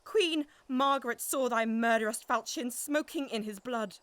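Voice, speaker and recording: A woman's voice: a little high, normal speed, fairly bright tone, clean tone, no room sound, lightly acted. A woman playing a grieving, defiant noble widow in a Shakespearean audio drama, with declamatory, emotional delivery. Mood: bitter scorn.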